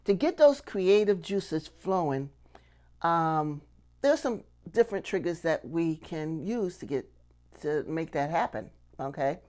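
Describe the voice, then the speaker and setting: A woman's voice: sits low, animated, a little slow, dark tone. A middle-aged woman giving a casual instructional talk to camera, conversational, often asking questions and checking in with the listener. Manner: conversational, rhetorical questions and frequent "okay?" check-ins. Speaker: puppetry instructor and channel host.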